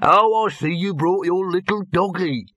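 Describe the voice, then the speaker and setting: A man's voice: sits high, moderate pace, sharp tone, no room sound, voice pushed up. A man playing a brash, effusive showbiz salesman with a pushy, dismissive manner.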